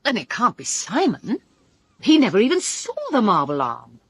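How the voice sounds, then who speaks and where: Brittle British woman, vividly animated. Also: mid-range voice, moderate pace, husky, dry recording, heavily acted. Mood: urgent, speculating. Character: brittle, sharp-tongued woman suspect, candid and bitter. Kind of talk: full-cast radio drama dialogue.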